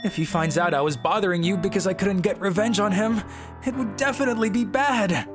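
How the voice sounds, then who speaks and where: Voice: medium pitch, brisk, over music, lightly acted. A performer voicing a brooding, cowardly teenage schoolboy who narrates a manga story in a melodramatic, scheming way. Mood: flustered, defensive. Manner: melodramatic, sinister chuckling schemer.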